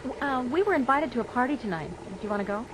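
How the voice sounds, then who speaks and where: A woman's voice: fairly high pitch, expressive, fast, background noise, lightly acted. An actress playing a good-natured young American wife and mother in a radio drama, light, teasing and conversational. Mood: gently coaxing.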